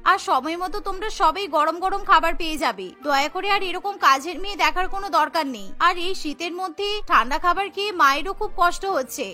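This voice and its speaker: A woman's voice: extremely high pitch, quick pace, few pauses, bright, forceful tone, soft background music. A woman voicing an earnest, flustered young daughter-in-law in a cartoon. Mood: apologetic. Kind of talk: cartoon voice acting.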